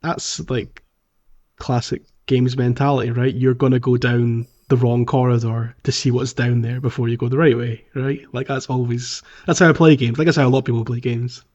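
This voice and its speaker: A man co-hosting a podcast, chatting informally and a little haltingly about playing a video game. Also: mid-range voice, flat delivery, a little mellow, muffled recording. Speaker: podcast co-host. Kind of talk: casual chat about a video game playthrough.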